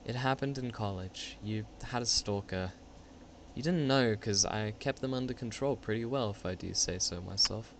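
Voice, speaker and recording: A man voices a young woman in an amateur radio play, frightened and guilty. She speaks haltingly and pleads, stammering over her words. A little background noise sits under the recording. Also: lowish voice, natural voice. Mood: confessing, shaken. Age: late twenties.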